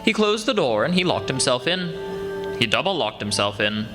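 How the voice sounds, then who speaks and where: A man narrating a live radio play as a wry storyteller, expressive and measured. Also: medium pitch, over music, lightly acted. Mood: wry storytelling. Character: male storyteller, wry and animated. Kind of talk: dramatic scripted narration for radio theatre. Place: stage before a live audience.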